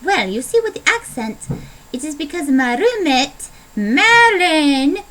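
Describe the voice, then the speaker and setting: High-pitched young woman faking an Italian accent. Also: big pitch swings, unhurried, pushed and bright, a little hiss or hum, low-quality recording. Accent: put-on Italian. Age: young adult. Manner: playful, self-deprecating, rambling. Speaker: vlogger. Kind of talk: casual rambling video diary.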